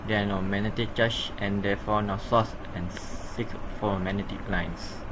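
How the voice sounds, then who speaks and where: Noisy recording, young malaysian guy doing a class presentation, lowish voice. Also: steady tone, normal speed. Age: young adult. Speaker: student presenter. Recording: clear background ambient noise.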